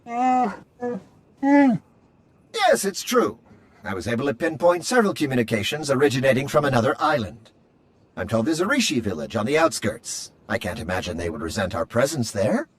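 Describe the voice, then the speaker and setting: A fussy robot servant in a video game. He is prim and formal, with wildly animated pitch swings and a slightly rough-edged, unclear tone. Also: normal speed. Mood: helpful, fussy. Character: fussy, polite, bloodthirsty male droid.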